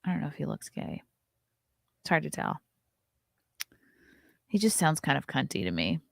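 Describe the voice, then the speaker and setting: A woman's voice: low voice, sing-song, moderate pace, gravelly, dry recording, studio quality. A woman in her thirties hosting a casual livestream, reading chat comments and reacting with blunt, sarcastic commentary and frequent swearing. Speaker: livestream host.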